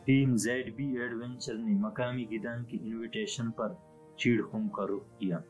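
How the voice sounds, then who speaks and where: A man narrating a travel vlog as a calm, scripted voice-over. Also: medium pitch, moderate pace, poor audio. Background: soft background music.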